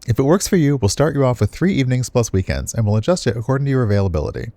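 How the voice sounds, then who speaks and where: A man's voice: medium pitch, animated, rapid-fire, warm tone, no room sound, pitched above the performer's own voice, lightly acted. A man performs a pompous restaurant boss in a visual novel game, brusque and lofty, in a dry recording. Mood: businesslike.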